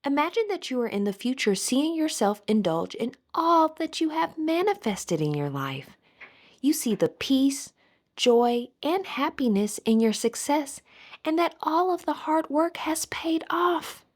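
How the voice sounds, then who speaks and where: A woman leading a scripted guided meditation in a soothing, measured manner. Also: sits high, big pitch swings.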